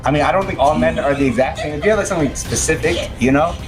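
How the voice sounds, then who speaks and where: A man's voice: on the high side, very fast, music in the background. A man hosting a casual podcast interview, talking in a hesitant way full of fillers. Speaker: podcast host.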